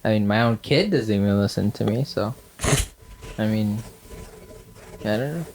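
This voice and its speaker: A man's voice: fairly low pitch, normal speed, a little mellow, poor audio. A man with a nasal voice co-hosting a podcast, chatting casually with lots of fillers and dry sarcasm. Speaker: podcast co-host. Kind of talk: casual chat.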